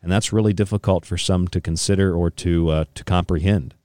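A man's voice: sits low, fairly even intonation, brisk, mellow, dry recording, studio quality. A thirty-year-old man hosting a radio interview, speaking earnestly in long, wordy questions and rephrasing himself as he goes. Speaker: radio show host.

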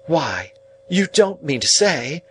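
A man voicing a sharp-tongued, haughty grown woman with a curt, exclamatory manner in an audiobook. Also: a little high, big pitch swings, slow, slight background noise, voice pushed up. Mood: gratified. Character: sharp, scolding, haughty older sister, adult woman. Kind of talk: audiobook character voice.

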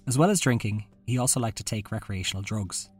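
Low-voiced man narrating over music. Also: expressive, fast talker, slightly rough. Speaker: podcast host. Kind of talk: scripted true-crime narration.

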